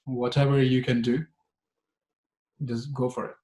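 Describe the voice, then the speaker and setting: A man on a webinar panel, giving casual advice as a doctor, quick and repeating words as he thinks. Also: mid-range voice, level tone, darkish tone, echoey room. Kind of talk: casual advice on a video call.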